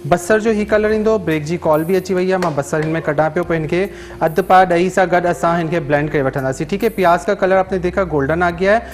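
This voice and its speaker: A man's voice: sits high, a little fast. A man in his thirties with a Sindhi accent, hosting a live TV cooking show in a studio kitchen and chatting his way through a recipe. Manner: chatty, switches between languages, checks in with "theek hai?" often. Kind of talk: live TV cooking demonstration with phone-in callers.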